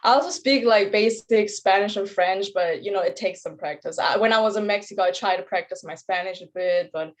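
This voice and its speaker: A woman's voice: mid-range voice, fairly quick, few pauses. A young woman from Germany with a German accent, chatting casually as an interview guest and athlete. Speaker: interview guest, competitive bodybuilder.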